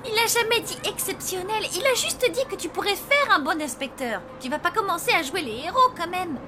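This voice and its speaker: A woman's voice: extremely high pitch, lively intonation, fairly quick, music in the background. A woman voicing a skeptical, teasing young zombie sister in a French cartoon.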